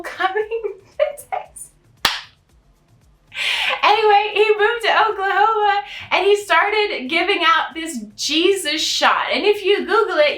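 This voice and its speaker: High, bright, theatrical American storyteller. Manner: theatrical, sarcastic mock sweetness. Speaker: storytelling host in a drag elf persona. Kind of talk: satirical storytime to camera, mock fairy tale. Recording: roomy, echoing sound.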